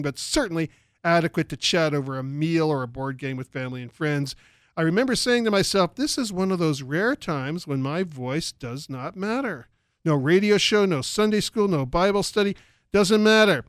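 A man's voice: fairly high pitch, expressive, moderate pace, a bit bright, clear, resonant tone, dry recording, studio quality. A man delivering scripted opinion commentary, sarcastic and emphatic, full of rhetorical questions. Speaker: radio commentator.

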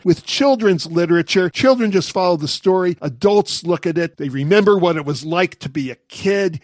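A man's voice: fairly high pitch, dry recording, studio quality. A man of sixty-nine hosting a talk commentary monologue, making wry, sarcastic jokes. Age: 60s. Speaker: commentary host.

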